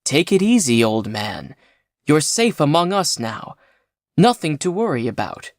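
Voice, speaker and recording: A storyteller voicing a calm, kindly spaceship crewman who talks in a brisk, matter-of-fact way. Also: mid-range voice, dry recording, clean studio sound, voice pushed up. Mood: soothing.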